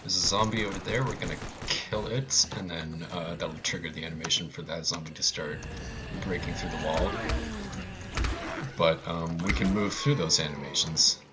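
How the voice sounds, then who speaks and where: Low-voiced male speedrunner, halting, offhand live commentary with dry humour. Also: fairly even intonation, music in the background. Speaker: speedrunner commentating own run. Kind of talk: casual live gameplay commentary.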